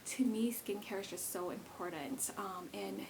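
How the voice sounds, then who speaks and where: A woman's voice: mid-range voice, normal speed, creaky, darkish tone, a little hiss or hum, poor audio. A woman in her thirties, pregnant, talking casually to camera as a beauty vlogger, rambling with lots of fillers. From South Korea. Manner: chatty and rambling, many fillers.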